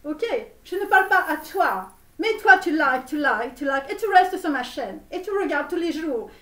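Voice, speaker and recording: Woman doing a comic romanian-accent tarot lady for an online channel, really high voice, pushy n repetitive, roomy sound. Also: expressive, sharp tone. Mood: pushy, reassuring. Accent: put-on Romanian. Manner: repetitive, halting, theatrical, insistent. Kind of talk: parody tarot reading spoken to viewers. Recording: roomy echo, distant microphone.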